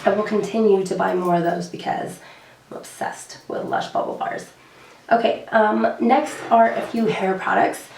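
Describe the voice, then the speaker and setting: Hoarse young woman vlogging in an echoey room. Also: medium pitch, steady tone, normal speed, a little mellow, recorded from a distance. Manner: chatty, many fillers and repeated words. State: hoarse, recovering from lost voice. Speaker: beauty vlogger. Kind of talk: casual chat to camera.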